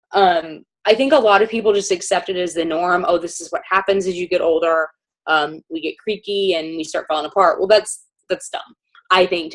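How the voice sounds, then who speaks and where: Woman, casual product presenter, slightly low voice, chatty and filler-heavy. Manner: chatty, informal, many fillers.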